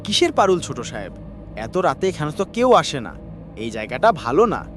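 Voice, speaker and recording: A man's voice: fairly high pitch, big pitch swings, normal speed, background noise. A man voicing a worried, deferential village uncle in a cartoon horror story, anxious and questioning. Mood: anxious, urging. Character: worried, deferential village uncle looking after young master.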